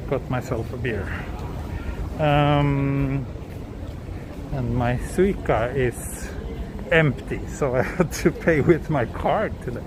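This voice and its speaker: A man from southern Sweden chatting casually with viewers during a live walk, relaxed, with many ums and restarts. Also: mid-range voice, slow pace, long pauses. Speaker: live-streaming walk vlogger. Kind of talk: casual live-stream chat answering viewers. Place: outdoors on city streets at night. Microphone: handheld phone camera. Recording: street noise behind the voice. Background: traffic and street ambience.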